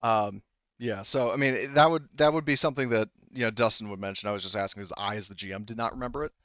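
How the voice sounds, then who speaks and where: A man speaking as game master, improvising narration casually, haltingly, often circling back to rephrase. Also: lowish voice, a bit bright, no echo, dull audio.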